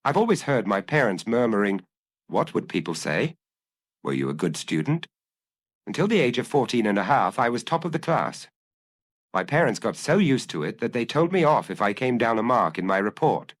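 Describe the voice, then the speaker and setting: A man's voice: mid-range voice, very animated, normal speed, dry recording. A man voicing a troubled young Frenchman in an audiobook, melancholy and hesitant as he explains himself earnestly. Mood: wistful, explaining. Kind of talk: dramatised audiobook dialogue.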